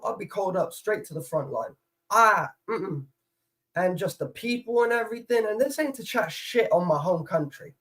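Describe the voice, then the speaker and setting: A man in his twenties from Poland, chatting casually as a guest, rambling and swearing easily. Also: high-pitched. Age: 20s. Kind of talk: casual chat.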